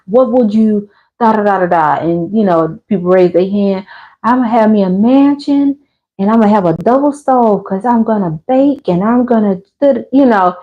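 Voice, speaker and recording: Candid American woman, slightly muffled livestream audio. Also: mid-range voice. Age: 50s. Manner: candid, conversational, colloquial, animated retelling with acted-out quotes. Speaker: livestream host. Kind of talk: casual livestream chat with viewers.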